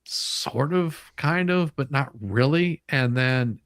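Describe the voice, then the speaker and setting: A man's voice: medium pitch, animated, slow pace, fairly bright tone, no room sound, clean studio sound. A man casually hosting a live talk, reading documents aloud and explaining them in a blunt way. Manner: blunt, mildly profane, explanatory. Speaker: online show host.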